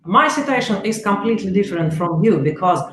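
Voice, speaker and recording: A woman's voice: medium pitch, animated, slight background noise. Russian-accented woman in echoey room. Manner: halting, searching for words, repeats herself. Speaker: journalist, panel guest. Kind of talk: panel discussion. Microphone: remote video call.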